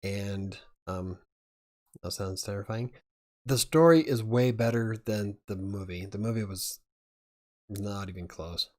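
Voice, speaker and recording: A man's voice: lowish voice, a little slow, a little mellow. A man hosting a casual live chat, rambling and chuckling as he talks. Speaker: livestream host.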